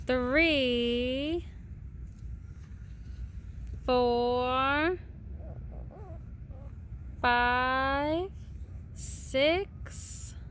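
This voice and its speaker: Woman, high voice, patient sing-song read-aloud for a young child, unhurried, faint background noise. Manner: sing-song, patient, pauses to count and point. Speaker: parent reading aloud to a child. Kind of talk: picture book read-aloud to a small child.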